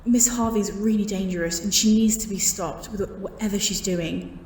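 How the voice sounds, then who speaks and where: A woman's voice: medium pitch, fairly even intonation, normal speed, a little mellow. A woman acting as an earnest female informant in an audio roleplay, grave and insistent, her voice in an echoing effect. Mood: grave, resolute. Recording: echoey, faint background noise.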